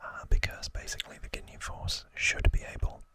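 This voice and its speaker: An ASMR host whispering a casual recap in a halting way, with asides. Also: sharp tone.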